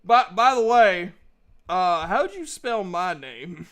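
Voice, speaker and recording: A man with a voice slightly higher than average speaks in a casual way, taking part in a tabletop roleplaying session among other players. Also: sing-song, slow, a bit bright, clear, resonant tone. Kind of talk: casual chat during an online tabletop roleplaying game.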